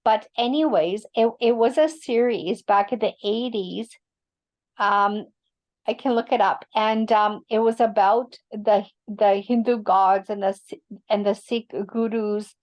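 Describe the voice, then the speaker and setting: A woman of about fifty, an educator speaking on an online panel over a video call, often halting as she searches for words. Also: mid-range voice, darkish tone. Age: around 50. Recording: dull lo-fi audio.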